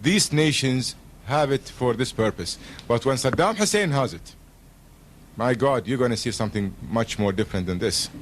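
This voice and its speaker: A man's voice: mid-range voice, lively intonation, normal speed, slight background noise. A man speaking as a radio guest in a formal political discussion, earnest and emphatic, with diplomatic phrasing.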